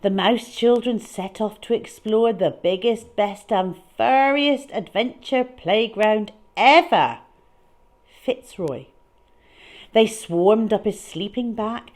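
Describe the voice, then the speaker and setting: A woman reading a picture book aloud as a children's storyteller, with dramatic, deliberate delivery and emphatic stresses. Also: medium pitch, darkish tone.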